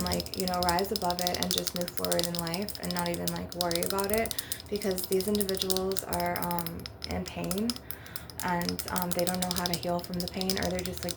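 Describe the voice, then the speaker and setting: Low, creaky-voiced woman rambling over loud tapping. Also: monotone, moderate pace. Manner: informal, meandering, frequent "like" and "you know". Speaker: ASMR host and spiritual healer. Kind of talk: rambling casual ASMR monologue. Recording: loud clicking and tapping noise throughout. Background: crystal and glass tapping.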